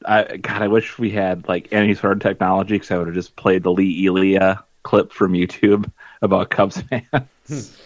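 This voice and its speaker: A man's voice: sits low, fairly even intonation, moderate pace, no room sound. A man co-hosting a podcast, chatting about sports and often laughing. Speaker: podcast co-host. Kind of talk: casual sports chat. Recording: dull, dry lo-fi sound.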